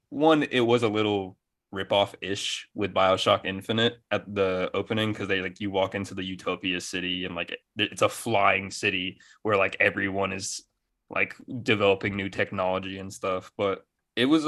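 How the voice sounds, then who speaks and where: A man's voice: deep voice, moderate pace, fairly bright tone. A man chatting casually as a gaming podcast co-host, enthusiastic, with plenty of slang and swearing.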